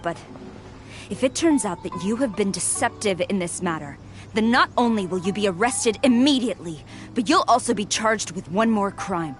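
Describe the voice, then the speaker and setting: A woman's voice: medium pitch, expressive, normal speed, soft background music, natural voice. A woman playing a stern, commanding warrior officer in a video game, with a clipped, authoritative manner. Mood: stern, measured.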